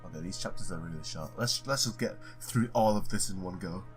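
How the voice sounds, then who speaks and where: A man's voice: deep voice, fairly quick, faint music behind. A man doing a let's play, reading story text aloud with informal asides and stumbling now and then over the words. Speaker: gamer reading a visual novel aloud.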